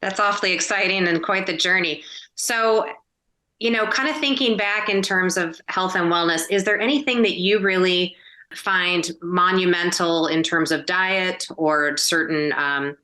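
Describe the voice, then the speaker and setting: A woman's voice: fairly low pitch, a little slow, pushed and bright. A woman hosting a health podcast, asking a guest questions in a conversational way. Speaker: podcast host, interviewer. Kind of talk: conversational interviewing.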